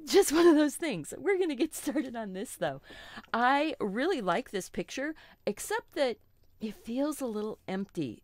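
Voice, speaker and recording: A middle-aged woman with a slightly froggy voice, hosting a relaxed live painting lesson and chatting reassuringly with little asides and self-corrections. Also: mid-range voice, dry recording. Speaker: art teacher hosting a live stream.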